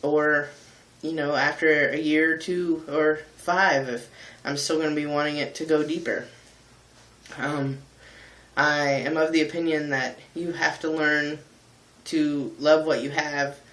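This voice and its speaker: A man talking candidly in a casual vlog, thoughtful and pausing often with ums. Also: on the high side, fairly even intonation, fairly bright tone, echoey room, poor audio. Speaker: vlogger and singer. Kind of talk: casual reflective vlog talk.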